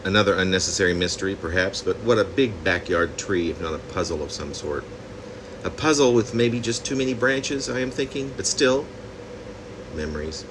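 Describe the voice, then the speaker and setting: Low-voiced man reading poetry over background hum. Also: lively intonation, moderate pace. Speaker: poet reading own work.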